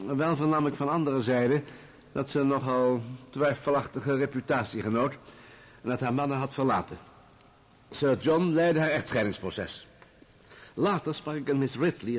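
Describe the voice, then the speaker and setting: An actor playing a composed, matter-of-fact journalist giving measured, formal testimony in a radio drama. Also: male voice, mid-range voice, close to an everyday voice. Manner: measured, formal, factual recounting.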